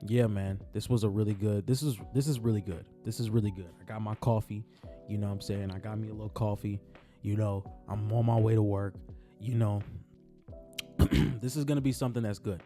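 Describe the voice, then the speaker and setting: Raspy-voiced man in a laid-back basketball vlog. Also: lowish voice, fairly even intonation, a little fast, dark tone, soft background music. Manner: laid-back, repeats phrases, heartfelt. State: raspy morning voice. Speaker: sports vlogger. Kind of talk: casual basketball talk vlog. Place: on his way to work.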